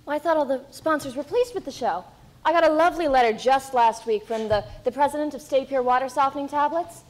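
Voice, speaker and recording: A young woman acting on a school stage as an indignant, haughty radio actress with a sharp tongue. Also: high voice, fast, lightly acted. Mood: puzzled, proud.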